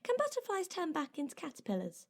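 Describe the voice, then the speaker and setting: Curious high-voiced young girl. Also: wildly swooping pitch, fast, creaky, exaggerated voice. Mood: wondering. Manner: eager, questioning. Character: curious, imaginative young girl, anxious at times. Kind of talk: audio drama acting.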